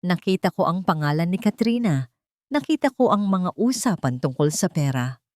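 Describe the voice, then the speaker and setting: A woman's voice: fairly low pitch, animated, normal speed, a little mellow, no echo, clean studio sound, natural voice. A woman playing a betrayed wife in an audio story, urgent, direct and determined. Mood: direct, hurt.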